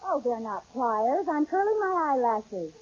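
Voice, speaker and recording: A woman playing a flustered, dawdling housewife with quick exasperated retorts in a 1940s radio comedy. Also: high voice, very animated, darkish tone, slight background noise. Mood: flustered, snappy. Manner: exasperated, playfully argumentative. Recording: dull old radio recording.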